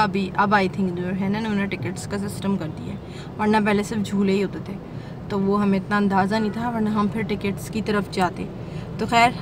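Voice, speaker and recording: A young woman, chatting through a casual shopping vlog as she walks around. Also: mid-range voice. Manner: chatty, narrating while walking around. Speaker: vlogger mother. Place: busy mall and car outdoors. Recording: phone audio with clear background noise. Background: traffic and street noise.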